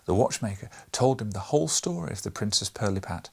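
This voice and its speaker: Guy reading a classic storybook aloud, really animated, bit gravelly and husky. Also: fairly low pitch, a little fast. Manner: expressive, theatrical storyteller. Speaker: audiobook reader. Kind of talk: scripted storybook narration.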